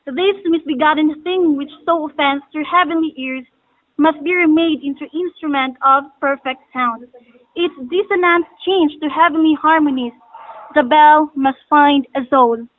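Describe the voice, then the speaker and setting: A woman's voice: sits high, moderate pace, close to an everyday voice. A woman playing an ominous wise-woman astrologer in a stage drama, pronouncing prophecy in a formal, oracular manner. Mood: solemn, ominous. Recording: muffled phone-like audio.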